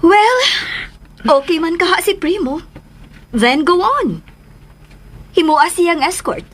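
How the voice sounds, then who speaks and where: High-voiced caring Filipino mother. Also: animated, slow, slight background noise, full character voice. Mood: caring, cautious. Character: caring mother, cautious but trusting. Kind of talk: Filipino radio drama acting. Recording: music under the voice.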